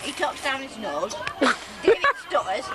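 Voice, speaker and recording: A woman's voice: high voice, big pitch swings, measured pace. An older English woman, a grandmother, chatting bluntly and teasing her family in casual talk. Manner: blunt and teasing, swears lightly. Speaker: grandmother in home videos. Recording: noisy old home video tape.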